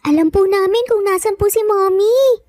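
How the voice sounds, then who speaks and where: A woman narrator puts on a small child from an audio drama, very high in pitch. The kid is polite but insistent, pleading earnestly in a dry recording. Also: normal speed, warm tone, no echo, soft background music. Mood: eager, earnest.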